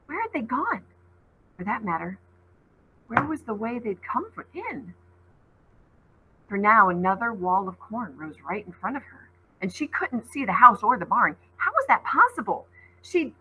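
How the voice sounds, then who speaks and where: A woman teacher reading a novel aloud to her class, in an expressive storytelling manner. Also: husky, rough recording. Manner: expressive read-aloud, voices rising high on called names.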